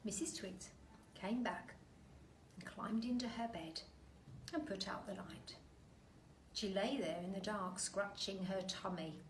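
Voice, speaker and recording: A woman reading a children's story aloud like a teacher, expressively and with deliberate, dramatic emphasis. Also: medium pitch. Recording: roomy echo, distant mic, faint noise.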